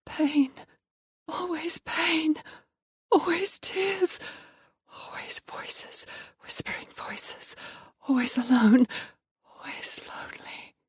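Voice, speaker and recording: A woman performing a broken, tormented young woman in an audiobook, speaking in halting one-word fragments that drift between whispers and cries. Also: high-pitched, animated, no echo, studio quality. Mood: haunted. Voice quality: breathy. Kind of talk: audiobook inner-monologue performance.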